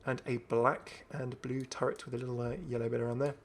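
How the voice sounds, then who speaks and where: A man's voice: mid-range voice, level tone, a little fast. A man hosting a gaming video, commenting casually and enthusiastically as he thinks aloud. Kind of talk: casual game update commentary.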